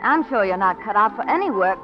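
A woman's voice: mid-range voice, lively intonation, brisk, natural voice. A woman playing a cool, cutting married woman ending an affair, in 1940s radio drama style. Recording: dull old radio broadcast with music.

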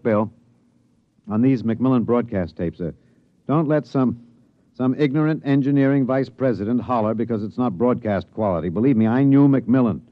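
An actor playing an earnest newsman, narrating an old-time radio drama in a dramatic, deliberate way. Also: mid-range voice, no echo, natural voice. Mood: insistent, informal. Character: earnest male newsman recounting a tense space rescue. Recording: dry, dull vintage radio recording.

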